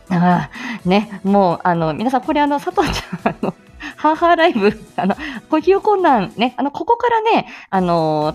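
Casting: female livestream host, bubbly and giggly, very lively intonation, casual chat over faint music. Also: medium pitch. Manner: bubbly, giggly, self-deprecating. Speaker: host of a live morning audio stream; speech-language therapist. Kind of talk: casual chatty livestream, greeting listeners.

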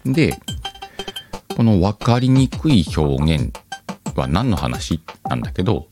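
A man's voice: deep voice, sing-song, takes it slowly. A man hosting his own audio show, chatting casually and musing aloud to his listeners. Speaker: host of an audio channel. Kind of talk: casual solo radio-style chat. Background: faint music.

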